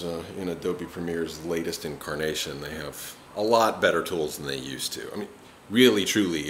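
A man in his thirties talking casually to a handheld camera at arm's length, relaxed and rambling. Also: very deep voice, slight background noise. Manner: relaxed, rambling, self-deprecating. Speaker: vlogger. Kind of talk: casual vlog talk to camera. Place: porch and living room of a house, then dark room.

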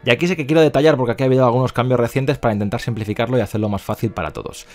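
A man's voice: medium pitch, rapid-fire. A man with an accent from Spain giving casual, explanatory screen-share commentary as a video presenter, often repeating himself and checking in with little tag questions. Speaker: video presenter promoting crypto mining investments.

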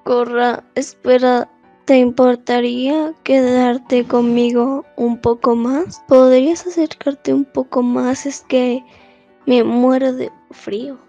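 Woman as shy young cartoon sweetheart, tender, high mellow voice, music behind. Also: steady tone, slow pace. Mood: tender, pleading. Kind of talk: amateur Spanish comic fandub. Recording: dull lo-fi audio under music.

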